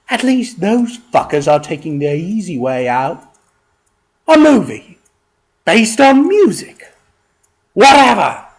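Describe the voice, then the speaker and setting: A man's voice: high-pitched, low-quality recording. A man delivering a scripted, profane comedic rant in an exasperated, emphatic and scornful way, drawing out words theatrically.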